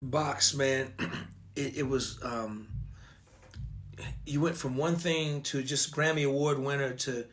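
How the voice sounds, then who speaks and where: A man hosting a casual interview, speaking effusively and haltingly, repeating words as he goes. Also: medium pitch, steady tone, fairly bright tone. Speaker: interview host.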